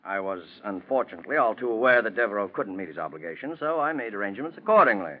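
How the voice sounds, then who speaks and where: Actor as low-voiced, grasping, polished schemer. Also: male voice, expressive, quick pace, natural voice. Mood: smug. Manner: formal, polished, theatrical. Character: grasping, suave, cynical businessman with uneasy nerves. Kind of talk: 1940s radio acting. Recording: muffled 1940s radio recording.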